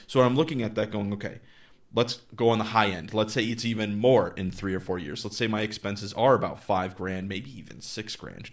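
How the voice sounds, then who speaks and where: Low gravelly-voiced man in his thirties. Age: 30s. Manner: conversational, thinks aloud with fillers and restarts; acts out dialogue with clients in quotes. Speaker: investing channel host. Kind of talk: casual explainer monologue.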